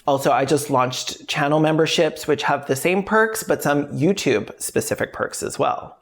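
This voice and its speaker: A woman's voice: low-pitched, moderate pace. A woman host speaking directly to the audience in scripted narration, with informal wording. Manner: informal, sweary. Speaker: video essay creator and host.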